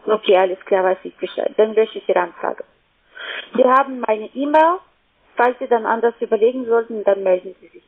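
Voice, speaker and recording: Polite high-voiced woman on a phone line. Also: a little fast. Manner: polite, businesslike. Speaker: call centre sales agent. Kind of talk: scripted-sounding phone sales talk, polite. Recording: thin phone-line sound.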